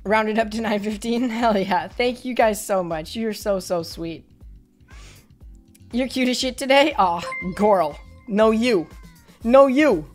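A young woman streaming from a small bedroom, her voice hoarse and a little rough today. She talks casually into a headset with a bubbly, effusive warmth, pitched somewhat high, and soft music runs faintly behind her. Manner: bubbly, effusive, quick swings to excited squeals. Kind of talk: casual live-stream chat thanking viewers.

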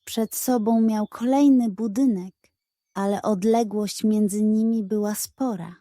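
Female storyteller for children's detective tales: unhurried, soft-toned, suspenseful, recorded dry. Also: medium pitch, steady tone, no echo.

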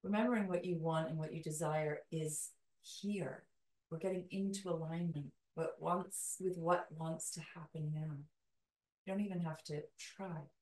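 A woman leading a guided meditation in a soothing, calming way, with slow, deliberate phrases and long pauses. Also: low-pitched. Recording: roomy echo, distant from mic.

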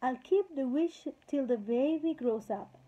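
A woman's voice: sits high, expressive, a little slow. A woman reading as a firm, stubborn king. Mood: gentle. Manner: firm, lecturing. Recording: slightly muffled lo-fi recording.

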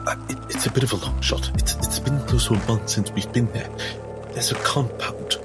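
A man playing in a tabletop game, speaking in character in an earnest, halting, thoughtful way. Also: mid-range voice, loud music behind the voice. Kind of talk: in-character roleplay dialogue.

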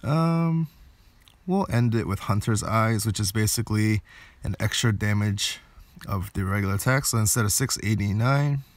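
Guy with a lowish voice doing chill game commentary, kinda hesitant thinking out loud, dry close mic. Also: moderate pace, no echo. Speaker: game video creator. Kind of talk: casual gameplay commentary.

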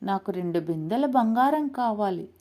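A woman's voice: mid-range voice, expressive, moderate pace, mellow, lightly acted. A woman playing a frightened but clever village man who bargains politely in a storybook tale. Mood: wary, bold.

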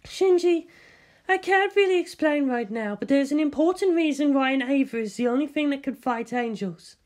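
A woman's voice: high voice, animated, moderate pace, a little mellow, pitched above the performer's own voice. A woman voicing an earnest, urging female military officer in a story reading. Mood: earnest pleading.

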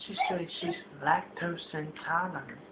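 Goofy, sleazy trailer Romeo played by a young man, high voice, suggestive prank-call patter on a tinny recording. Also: fairly even intonation, a little slow, close to an everyday voice. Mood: smug, suggestive. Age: young adult. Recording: tinny, very noisy low-quality camera microphone.

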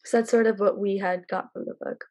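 A woman's voice: mid-range voice, steady tone, brisk, no echo, highs cut off. A young adult woman reflecting in a group discussion on a video call. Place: home room, video call. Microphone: computer mic on video call.

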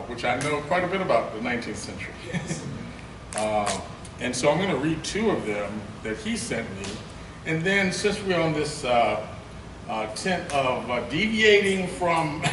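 An older man reading poetry at a podium, first chatting haltingly with many fillers, then reciting slowly and gravely. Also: mid-range voice, sing-song. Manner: slow, declamatory recitation. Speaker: poetry reader. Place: conference room with lectern. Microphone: podium mic, from a far camera. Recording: roomy, distant, noisy room recording.

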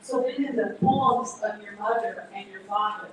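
A woman's voice: mid-range voice, moderate pace, slight background noise. A woman moderating a live audience Q&A, putting direct, conversational questions to the guest. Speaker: event moderator. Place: large event hall. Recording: echoey hall from far off.

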